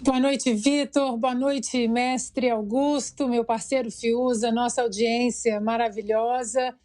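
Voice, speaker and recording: A slightly hoarse woman, giving indignant, sarcastic political commentary on a news talk show. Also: on the high side, fairly even intonation, slow, darkish tone. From Brazil.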